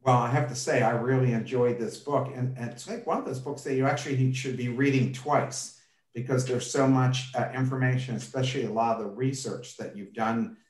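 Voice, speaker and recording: A man's voice: medium pitch, steady tone, brisk, a little mellow. A middle-aged man hosting an interview over a video call, reading friendly introductions and asking questions. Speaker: podcast host. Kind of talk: interview host. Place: office indoors. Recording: roomy echo on a video call.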